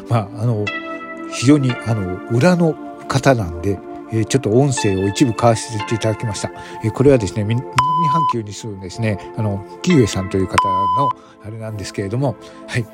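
A man hosting a podcast, making a casual announcement to listeners in polite, formal phrasing. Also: mid-range voice. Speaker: podcast host.

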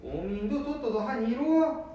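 A man acting as a defiant old grandfather with a high-set voice and a thick Nambu dialect of northeastern Japan. He blusters and insists in radio-drama style. The recording is heavily echoey and distant from the mic. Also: lively intonation, fairly quick, warm tone, highs cut off. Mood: indignant. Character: stubborn, proud elderly grandfather who refuses to stop driving.

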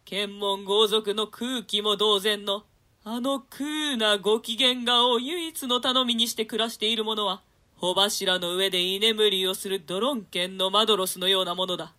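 A woman's voice: mid-range voice, steady tone, moderate pace, pushed and bright, natural voice. A woman playing a doomed, remorseful nobleman in a declamatory, archaic dramatic reading of Shakespeare. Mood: bitter despair. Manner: archaic, declamatory, swelling with emotion. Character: doomed, remorseful nobleman lamenting his own folly.

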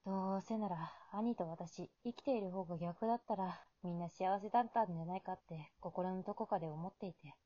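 Hesitant, mellow-voiced young woman acted by a woman. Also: lowish voice, brisk, hardly any pauses, dull audio, lightly acted. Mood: downcast, weary. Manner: hesitant, subdued. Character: weary, self-doubting young woman lost in the afterlife. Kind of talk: amateur short radio drama acting.

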